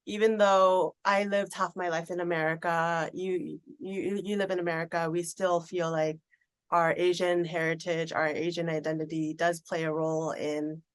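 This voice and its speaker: A woman from Thailand hosting a podcast, talking in a relaxed conversational way. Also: fairly low pitch, moderate pace, smooth, no echo. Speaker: podcast host. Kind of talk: casual podcast interview.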